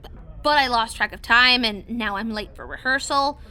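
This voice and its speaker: A woman's voice: fairly high pitch, clean tone. An actress playing a giggly teenage girl who is easily flustered in an audio drama. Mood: nervous. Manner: giggly, bright and quick to fluster.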